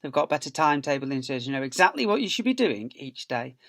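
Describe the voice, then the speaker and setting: A man's voice: medium pitch, sing-song, fast, a bit bright. A man, an infant school teacher, reading a story expressively to young children.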